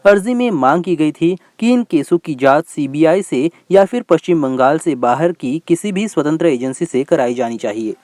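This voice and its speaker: A man's voice: fairly high pitch, lively intonation, normal speed, hardly any pauses, darkish tone, dry recording. A man reading a scripted news bulletin as its presenter.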